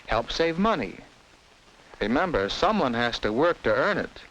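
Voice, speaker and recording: An American man narrates a children's educational film in the scripted style of the 1950s. His mid-pitched voice moves in lively, varied melody as he tells things simply and instructively to young listeners. The vintage film soundtrack carries a faint layer of noise. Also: unhurried, poor audio.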